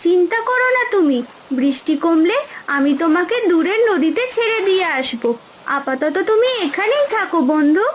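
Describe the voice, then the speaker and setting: Woman playing young village girl, very high childlike voice, kind, eager, cartoon acting, faint noise behind. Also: expressive, moderate pace. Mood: kind, reassuring.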